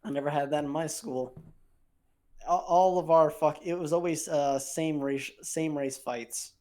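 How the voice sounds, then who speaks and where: A man's voice: on the high side, fairly even intonation, a little slow, a little mellow. A young man co-hosting a podcast, chatting crudely and casually, rambling, swearing and trailing off mid-sentence. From Filipino heritage. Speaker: podcast co-host.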